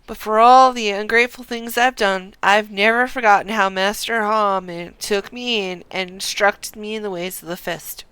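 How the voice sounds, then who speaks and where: A woman's voice: medium pitch, fairly even intonation, normal speed, few pauses, fairly bright tone, smooth, no echo, close to an everyday voice, low-quality recording. A woman voicing an earnest male refugee fighter from a video game, solemn and pleading in his devotion to his master. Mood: earnest, defensive.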